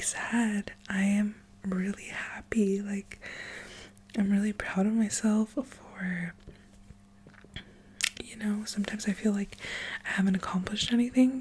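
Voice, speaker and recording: A thirty-year-old woman speaking softly and intimately, close to the mic, in a very breathy, airy voice. She talks slowly and rambles, with giggles here and there. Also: medium pitch. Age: 30s. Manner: rambling, soft-spoken, giggly. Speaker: ASMR creator. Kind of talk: soft-spoken ASMR chat.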